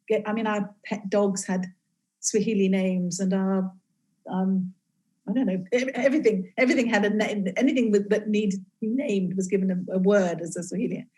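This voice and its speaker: A woman's voice: mid-range voice, warm tone. Woman who grew up in East Africa. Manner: halting, many restarts, laughs often. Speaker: guest, game inventor. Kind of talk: casual interview talk.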